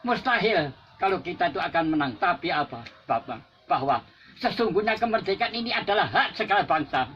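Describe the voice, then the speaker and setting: Give me an old Javanese veteran's impassioned speech. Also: male voice, high voice, expressive, fairly quick, a bit bright. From Java. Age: older. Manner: preaching, repetitive exhortation, rising to emphatic peaks. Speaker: independence war veteran. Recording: dull lo-fi recording with faint music.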